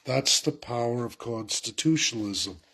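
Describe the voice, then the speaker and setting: Gravelly congested man ranting. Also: medium pitch, normal speed, sharp tone. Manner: urgent, repetitive, exhorting. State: congested with a head cold. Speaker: political commentator. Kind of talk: impassioned political rant to camera.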